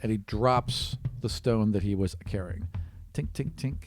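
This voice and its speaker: A man's voice: fairly low pitch, measured pace, noisy background. A man narrating a tabletop role-playing game as game master in a casual, conversational way.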